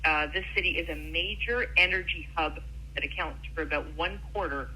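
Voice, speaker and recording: A woman's voice: low voice, normal speed, pushed and bright, a little hiss or hum, rough recording. A woman hosting a news radio show, interviewing guests with earnest, sympathetic questions.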